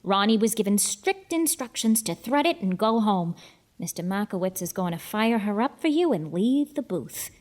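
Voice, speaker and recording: An actress playing an eager, chatty young 1940s cinema usherette, gushing and gossipy with wholesome old-fashioned slang in an audio drama. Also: female voice, medium pitch, expressive, moderate pace, darkish tone, lightly acted. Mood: gossipy, intrigued. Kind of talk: film noir audio drama acting.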